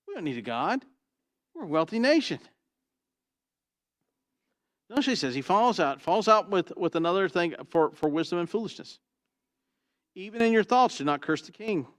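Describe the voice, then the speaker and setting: A pastor with a booming, trained voice preaching an expository sermon, swinging from loud emphasis to quiet asides and asking "Why?" before he answers. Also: no room sound. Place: church sanctuary.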